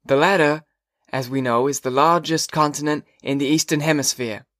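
A man's voice: a little high, steady tone, fairly bright tone, no echo, studio quality, voice pushed up, close to an everyday voice. A man doing a sarcastic old schoolteacher. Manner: sarcastic, slow and lecturing. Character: old sarcastic male history teacher.

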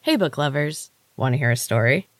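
A woman's voice: very deep voice, animated, brisk, over loud music. A woman hosting a story podcast and reading fiction aloud as a narrator. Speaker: author and podcast host. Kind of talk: storytelling narration of own novel.

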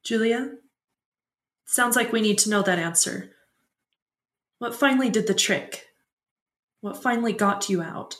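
Echoey room, lady as a composed debate show host, voice a bit high. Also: unhurried, long pauses, a bit bright. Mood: composed, probing. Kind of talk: broadcast moderator in fiction podcast.

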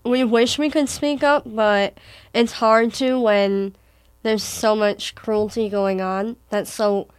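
Slow, halting teenage girl from Wisconsin. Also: a little high, fairly even intonation, smooth, dry recording. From Wisconsin, USA. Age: teens. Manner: thoughtful, halting, with frequent restarts. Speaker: daughter in conversation with her mother. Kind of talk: casual conversation.

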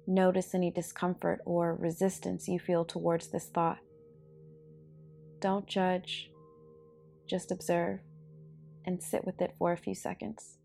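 A woman leads a guided meditation in a calm, soothing voice that sits fairly low and stays quite even. There is noticeable vocal fry in her measured delivery. Soft meditation music plays behind her. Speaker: podcast host and meditation guide.

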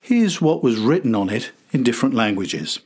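An older man reads scripted documentary narration as a podcast host. His voice sits at an ordinary pitch and has a husky, slightly rough edge. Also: big pitch swings, moderate pace, a little mellow.

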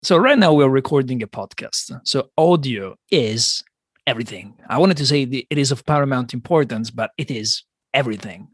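A man's voice: medium pitch, expressive, moderate pace, slightly rough, no room sound, clean studio sound. A man hosting a business podcast, reading a scripted intro and then asking his guest questions. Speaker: podcast host.

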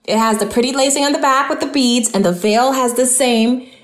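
A woman plays a supportive mother of a bride in an audio drama. Her voice is a little high and admiring, with clear room echo around it. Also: fairly quick, close to an everyday voice. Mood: approving. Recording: echoey room.

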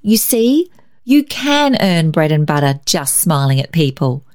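A woman reading a story, voicing an eager, excitable girl who speaks in urgent exclamations. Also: sits low, measured pace. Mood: triumphant.

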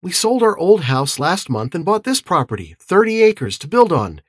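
A man's voice: high-pitched, lively intonation, a little fast, dry recording, clean studio sound, pitched above the performer's own voice. A man voicing an indignant, sour woman with a strident, incredulous manner in an audiobook. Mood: sour, explaining. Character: well-off middle-class woman, indignant and sour.

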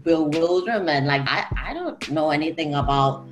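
Low-pitched woman from singapore, music exec on a panel, loose filler-heavy chat. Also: animated, moderate pace. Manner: chatty, filler-heavy with "like" and "you know". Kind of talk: casual panel talk.

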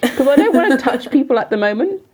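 A woman's voice: sits high, very animated, quick pace, darkish tone, breathy edge. A young woman co-hosting a podcast in casual chat, laughing often and swearing freely. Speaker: podcast co-host.

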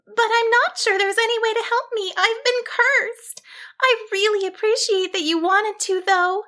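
A woman's voice: very high voice, animated, normal speed, pushed and bright, voice pushed up, full character voice. A woman voicing a small, earnest and anxious young female sheep in a storybook tale. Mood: stammering, sorrowful. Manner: earnest, nervous, stammering when flustered.

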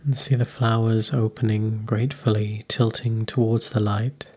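A man leading a guided meditation in a calm, unhurried, soothing manner. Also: on the low side, breathy edge.

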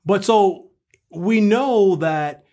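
Man, somewhat high pitch, professor, co-host, scholarly explaining, rhetorical questions, literary discussion. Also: drawn-out words, mellow.

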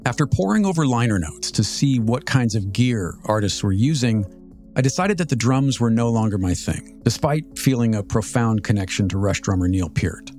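A man in his fifties with an American accent, telling a scripted personal story as a podcast host in a measured, reflective, articulate way. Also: medium pitch, animated, darkish tone. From Southern California, United States. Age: 50s. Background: music.

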